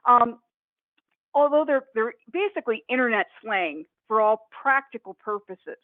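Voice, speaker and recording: A woman in her forties from Northern Ohio giving a conference webinar talk, slightly hoarse, chatty with many fillers and restarts. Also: a little high, lively intonation, measured pace, no room sound. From Northern Ohio, USA. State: hoarse, coughing. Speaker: conference presenter. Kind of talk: webinar presentation. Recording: dry, phone-like audio.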